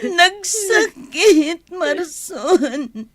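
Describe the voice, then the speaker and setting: Very high-voiced grieving young daughter played by a woman. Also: lively intonation, extremely slow, a bit bright, dry recording, clean studio sound, exaggerated voice. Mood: grieving, tearful. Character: Margaret, grieving young daughter who lost her father. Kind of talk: Ilocano radio drama acting.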